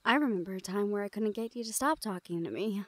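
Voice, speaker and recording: A woman playing a teasing, protective shadow-folk thief in an intimate fantasy audio roleplay, speaking softly and often dropping toward a murmur. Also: medium pitch, fast talker, no room sound, close to an everyday voice. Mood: playful, confident.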